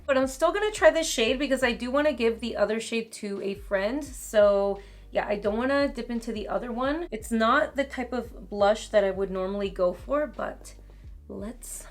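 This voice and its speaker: A young woman with a slightly raspy voice, chatting casually as she tries on and reviews makeup at home. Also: fairly high pitch. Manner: chatty, casual commentary while applying makeup. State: raspy voice from talking too much. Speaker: beauty vlogger. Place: home beauty room, indoors.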